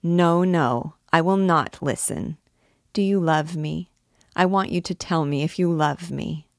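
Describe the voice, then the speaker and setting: A woman's voice: sits low, a little slow, vocal fry, dry recording, studio quality. A woman reading as a naive, adoring young girl. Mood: playful pleading. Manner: tender, pleading, childlike. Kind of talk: audiobook character dialogue.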